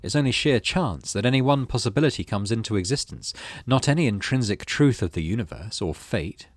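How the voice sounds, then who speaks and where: A man's voice: medium pitch, fairly quick, dry recording, clean studio sound. A man hosting a gaming channel, reading game narration aloud expressively and adding casual commentary. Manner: expressive storyteller reading with asides.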